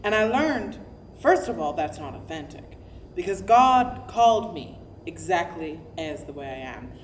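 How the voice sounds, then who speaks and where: An actress playing an optimistic, smiley woman pastor in a verbatim staged reading, animated and often restarting her phrases. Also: fairly low pitch, measured pace, slight background noise. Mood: candid. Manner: animated, restarts phrases, chuckles between thoughts.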